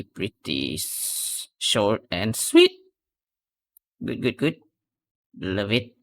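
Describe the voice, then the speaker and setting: A man's voice: lowish voice, gravelly, fairly bright tone. A man hosting a reaction video, giving casual commentary and analysis as he thinks aloud and repeats phrases. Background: faint music.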